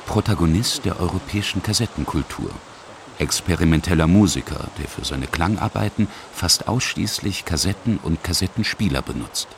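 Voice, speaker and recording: A man narrating a scripted radio feature in a husky voice. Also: sits low, animated, normal speed, slight background noise.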